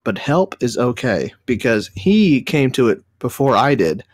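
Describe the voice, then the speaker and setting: A young man, his voice a bit nasal and sniffly from a cold. He talks in a middle pitch, rambling and correcting himself as he tells his story in casual conversation. The sound is dry and close. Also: big pitch swings, measured pace, dry recording. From Southern United States. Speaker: podcast co-host. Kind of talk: casual chat.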